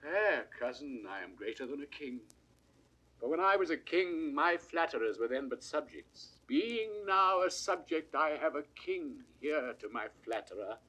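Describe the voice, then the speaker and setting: A man's voice: a little high, sing-song, bright, forceful tone, full character voice, poor audio. An English actor playing a grief-stricken, proud deposed king in declamatory Shakespearean verse, in a 1960s audio drama. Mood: ironic pride. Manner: declamatory, drawn-out theatrical verse delivery. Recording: vintage studio recording, faint hiss.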